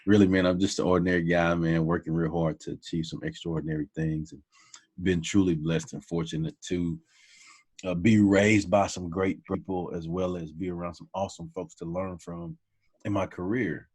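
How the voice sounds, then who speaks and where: Man, forties, very low voice, casual podcast guest, humble and friendly. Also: fairly even intonation, normal speed, breathy edge. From Texas. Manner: warm, humble, says "man" often. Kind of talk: casual conversation.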